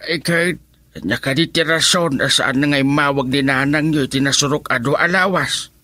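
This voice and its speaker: A man's voice: a little high, moderate pace, pushed and bright, no room sound. A man playing an elderly, concerned grandparent, fretful and exclamatory, in an Ilocano radio drama. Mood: surprised, worried.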